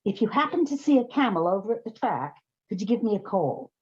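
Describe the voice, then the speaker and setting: An actress playing a brisk, wry woman who runs an odd job agency in an old-time radio comedy. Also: medium pitch, very animated, a little mellow, breathy edge. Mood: wry, businesslike. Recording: slightly dull, muffled sound.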